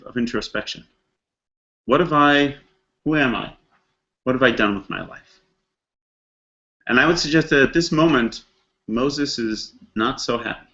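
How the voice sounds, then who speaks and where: A man's voice: medium pitch, pushed and bright. Dull-sounding recording, reflective man teaching scripture. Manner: reflective, storytelling, posing rhetorical questions; dramatizes characters' thoughts in casual modern slang. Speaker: rabbi teaching a text study class. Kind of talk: conversational scripture lecture.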